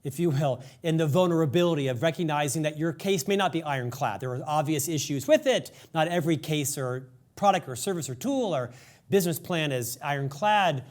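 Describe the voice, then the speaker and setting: A male podcast host with a slightly higher-than-average voice talks earnestly with his guest. He has a lifelong stutter and chooses his words with care. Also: a little fast. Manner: earnest, engaged interviewer. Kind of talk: interview conversation.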